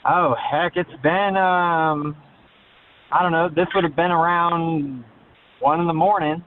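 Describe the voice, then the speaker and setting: A man calling on a thin phone line, talking in a halting, hesitant, evasive way. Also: a little high, fairly even intonation. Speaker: caller.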